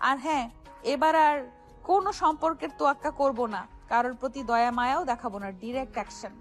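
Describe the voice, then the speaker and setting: Young heroine played by a woman for romantic audio drama: high-pitched, emphatic, wounded but firm, over soft music. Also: quick pace, natural voice. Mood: stern, defiant. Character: wronged young woman, stern yet deeply hurt daughter. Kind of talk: Bengali audio story drama acting. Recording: music behind the voice.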